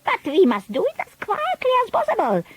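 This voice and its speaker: A woman's voice: very high-pitched, wildly swooping pitch, brisk, exaggerated voice. A woman voicing a scheming, pompous old witch leader who talks in grandiose broken grammar. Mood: commanding. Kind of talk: storybook reading.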